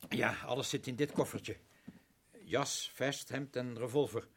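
An actor playing a respectful prison guard in a radio drama, hesitant and apologetic. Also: male voice, mid-range voice, steady tone, a little slow, creaky, slight background noise. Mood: deferential. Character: deferential male prison guard.

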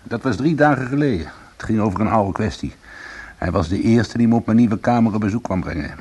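A man playing a bitter, defiant ex-convict in a Dutch radio play, with a gravelly, husky voice and a sardonic manner. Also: on the low side, expressive, brisk, a little mellow. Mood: dry, candid. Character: bitter, defiant male ex-convict trying to go straight. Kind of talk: Dutch radio drama dialogue and narration.

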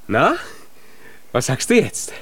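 An actor voicing a small, childlike, proud and eager giant in a children's radio play. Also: male voice, mid-range voice, wildly swooping pitch, slow, fairly bright tone, husky, heavily acted. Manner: eager, boastful, naive.

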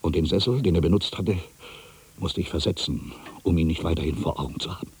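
An older man playing an ageing, bitter writer in a literary radio drama monologue, musing theatrically with rhetorical questions and pauses. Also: sits low. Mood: subdued, uneasy. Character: ageing male writer, brooding, bitter, addressing his past.

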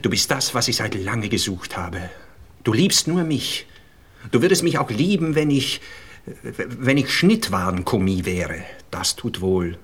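Pompous Austrian poet-seducer, wildly swooping delivery. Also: male voice, fairly low pitch, sing-song, a little slow, husky, full character voice. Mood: solemn, adoring. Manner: pompous, rapturous, theatrical. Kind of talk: radio play acting.

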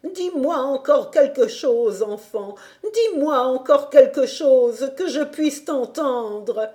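A woman's voice: high-pitched, animated, slow pace, darkish tone, pitched above the performer's own voice. A woman playing a tender, trembling old grandmother. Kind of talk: storybook reading.

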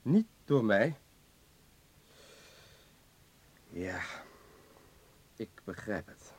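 An actor in a radio play playing a brisk, self-assured hospital surgeon with a polite, decisive, professional manner. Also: male voice, lowish voice, very animated, darkish tone, a little hiss or hum. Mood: puzzled.

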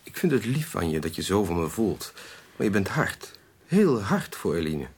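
An actor in a Dutch radio drama playing a kind, patient young gentleman with a gentle, indulgent manner. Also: low-pitched, very animated, normal speed, slightly rough, full character voice. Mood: gently protesting.

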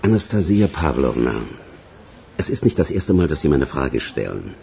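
Pompous senior detective for radio crime drama: low, lively intonation, formal, lecturing, condescending. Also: male voice, normal speed, vocal fry, exaggerated voice. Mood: pompous rebuke. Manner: formal, pedantic, interrogating. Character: pompous, condescending veteran male police investigator.

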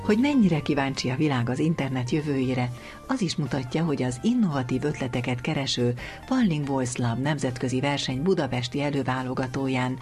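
A man's voice: mid-range voice, expressive, darkish tone, soft background music. A man hosting a radio programme, asking interview questions and presenting.

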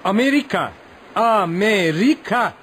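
A man's voice: sits high, expressive, takes it slowly, a bit bright, full character voice. A man acting in a radio play as an eager, stubborn American travel writer, chatty and exclamatory. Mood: searching, musing. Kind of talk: radio play acting with spoken-aloud thoughts. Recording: clearly noisy radio drama recording.

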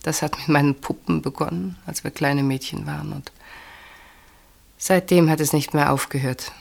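Troubled woman hiding something in a radio drama; slightly low register, hesitant and evasive. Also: steady tone, normal speed, creaky, full character voice. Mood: subdued, bitter. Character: guarded, troubled woman withholding a secret. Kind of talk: German radio play acting.

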